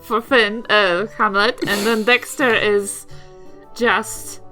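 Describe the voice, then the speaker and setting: A woman's voice: mid-range voice, fairly even intonation, slow, pushed and bright. A woman with a New Zealand accent chatting casually as a player in a tabletop game. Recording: music behind the voice. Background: faint music.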